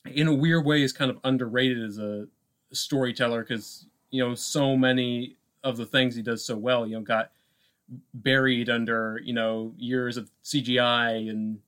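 A man co-hosting a podcast, talking casually about film in a halting way, thinking aloud with frequent asides. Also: mid-range voice, fairly even intonation, fairly bright tone, clear, resonant tone. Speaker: podcast co-host.

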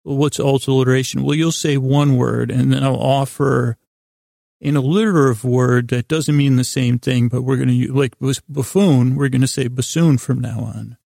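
A man with a creaky voice hosting a bedtime podcast, improvising a halting, meandering, self-deprecating monologue that slips into imagined dialogue. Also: medium pitch, steady tone, dark tone, no room sound, studio quality. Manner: acts out imagined back-and-forth dialogue in his own voice. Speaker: podcast host.

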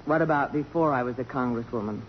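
A woman's voice: extremely low pitch, quick pace, muffled recording. Casting: strong-willed congresswoman, emotional and commanding, 1950s radio play, faintly noisy vintage broadcast sound. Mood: guarded, firm. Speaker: radio actress. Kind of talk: 1950s radio drama acting.

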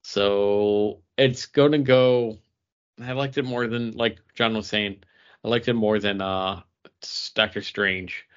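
A man's voice: lowish voice, steady tone, slow, clean tone, dull audio. A man co-hosting a podcast, thinking aloud in casual talk about films. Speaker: podcast co-host. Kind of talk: casual film discussion.

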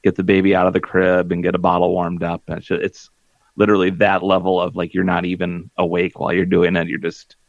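A man's voice: low voice, completely flat delivery, quick pace, dry recording. A man in his forties chatting casually as a podcast co-host, rambling and self-deprecating with lots of fillers. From Minnesota. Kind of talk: casual chat. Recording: dull lo-fi dry audio.

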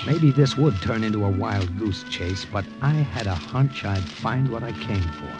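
An actor narrating as an American spy in first person, in 1940s radio drama style. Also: male voice, on the low side, lively intonation, measured pace, highs cut off, loud music behind the voice. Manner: tense first-person storytelling.

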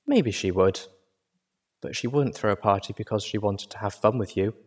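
A man voicing a gentle adult mare healer who speaks slowly, calmly and sadly. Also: on the low side, slightly rough. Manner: slow, calm and gentle.

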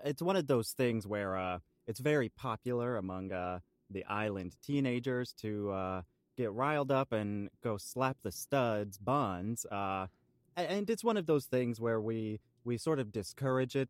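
A man playing a cheeky island local, halting and mock-formal as he proudly banters about quirky traditions on an improvised comedy podcast. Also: mid-range voice, expressive, dry recording, natural voice.

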